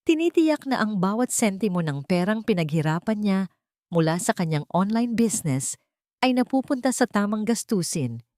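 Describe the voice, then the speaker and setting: A woman's voice: mid-range voice, big pitch swings, normal speed, darkish tone, dry recording, studio quality. A woman reading a scripted story as a dramatic narrator.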